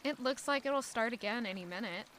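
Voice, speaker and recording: A woman's voice: a little high, a little fast, a bit bright, slight background noise, close to an everyday voice. A woman voicing a troubled young maid who answers curtly and defensively in an audio drama. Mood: guarded, curt. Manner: curt, defensive replies.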